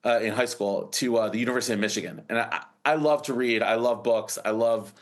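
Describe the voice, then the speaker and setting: An American man in his forties tells his story as a guest in a casual interview. He rambles through many false starts, restarts and fillers. His voice sits at an ordinary pitch for a man. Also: steady tone, a little fast. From United States.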